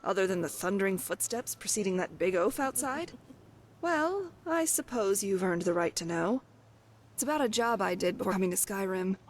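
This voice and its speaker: Actress as roguish woman thief, wry, coy, glib, talkative, fantasy game companion, mid-pitched. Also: animated, normal speed, natural voice. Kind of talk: scripted video game dialogue.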